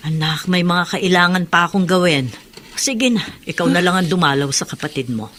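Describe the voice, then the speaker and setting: A woman's voice: lowish voice, normal speed, a bit bright, lightly acted. A woman playing a stern, grieving mother of a jailed son in a Filipino radio drama. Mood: curt, guarded.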